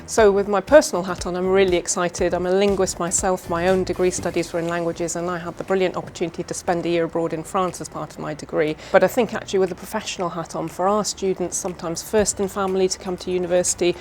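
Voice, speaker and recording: A middle-aged woman, a university leader, speaking in an articulate, enthusiastic and measured way in a conversational interview. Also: on the low side, flat delivery, a little mellow, faint music behind. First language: English. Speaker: university vice-chancellor.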